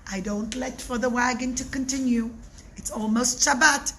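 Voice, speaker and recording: A woman voicing a gentle but firm male rabbi who calmly pleads with his captors in a story for children. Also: on the high side. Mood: pleading. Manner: calm, pleading, slow.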